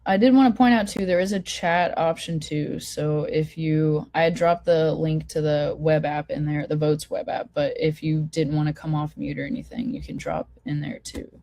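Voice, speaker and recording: A woman with a hoarse voice from a cold, hosting an online meeting and walking attendees through a website in a casual, filler-heavy way. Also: low voice, normal speed, few pauses, mellow. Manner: informal, lots of fillers and restarts. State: hoarse, getting over a cold. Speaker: forum host. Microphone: video call.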